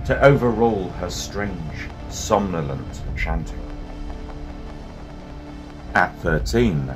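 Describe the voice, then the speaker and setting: A man narrating a dramatic scripted story in a measured, portentous manner. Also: low voice, rough recording. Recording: voice over music.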